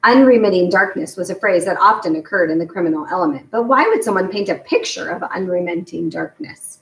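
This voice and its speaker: A woman's voice: fairly low pitch, expressive, a little fast, a bit bright. A middle-aged woman reading a children's novel aloud as a storyteller. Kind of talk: read-aloud narration of a children's book. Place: home garage, indoors. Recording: echoey room, distant mic.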